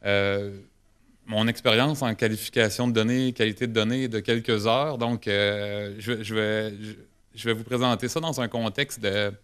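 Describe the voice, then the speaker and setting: A man's voice: fairly low pitch, fairly even intonation, moderate pace, a bit bright, smooth. A man with a Québécois French accent giving an informal conference presentation, hesitant, often restarting and correcting himself. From Quebec, Canada. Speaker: government forestry specialist presenting.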